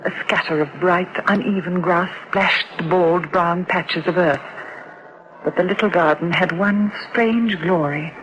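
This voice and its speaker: A woman's voice: lowish voice, unhurried, a bit bright, muffled recording. Actress as a haunted mother over noticeable noise. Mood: wistful, uneasy. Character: haunted, frightened mother telling her ghostly tale. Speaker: narrator and lead character. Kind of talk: dramatised first-person horror narration.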